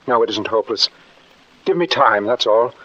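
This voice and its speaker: An actor playing a secretive, scheming doctor in a 1940s radio mystery, with an earnest, halting delivery. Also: male voice, medium pitch, animated, sharp tone, a little hiss or hum. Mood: earnest pleading. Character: scheming adulterous doctor, smooth and secretive, plotting murder. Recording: dull 1940s radio recording.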